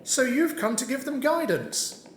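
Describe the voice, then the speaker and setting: A woman's voice: high voice, very animated, unhurried, roomy sound, a little hiss or hum. A woman playing a wary, reclusive attic dweller in an audio drama, speaking curtly. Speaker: amateur actor. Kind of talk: community audio drama acting.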